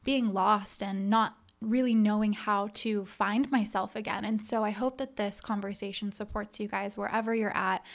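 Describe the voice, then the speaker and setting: A woman hosting a solo podcast, speaking conversationally in an earnest, encouraging, emphatic way as a coach addressing listeners. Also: medium pitch. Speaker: podcast host and business coach. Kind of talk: conversational solo podcast monologue with membership pitch.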